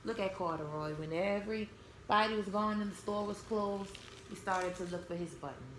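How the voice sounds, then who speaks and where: A woman reading a storybook aloud to young children, expressive, pausing to explain and ask simple questions. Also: medium pitch, a crowd in the background. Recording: echoey room with background noise.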